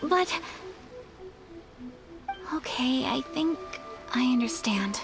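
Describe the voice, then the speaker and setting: A woman's voice: high voice, animated, heavily acted. A woman voicing a refined, polite young noblewoman in a video game, modest and shy, speaking in a courteous, hesitant way. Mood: modest, reluctant. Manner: soft-spoken courtesy, hesitant, measured. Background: game music.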